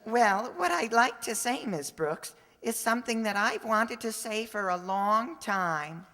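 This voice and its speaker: A very lively, swinging voice echoes in a big hall. It is a woman as an awkward, earnest teenage boy in an old-fashioned radio comedy, puffing up flowery formal phrases. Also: on the high side, measured pace. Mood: earnest, bashful. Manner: flowery, mangled formal wording. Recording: echoey hall, long reverb.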